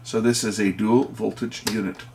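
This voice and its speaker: Low gravelly guy doing an off the cuff gear walkthrough, kinda thinking out loud. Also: level tone, normal speed, background noise. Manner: thinking aloud as he inspects. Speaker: host of an electronics repair video channel. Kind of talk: unscripted hands-on product walkthrough.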